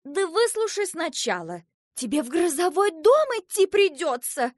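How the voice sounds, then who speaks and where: A woman voicing a motherly, excitable storybook sweet bun with an emphatic, fussing manner. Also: on the high side, unhurried, dry recording, clean studio sound, pitched above the performer's own voice. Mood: agitated.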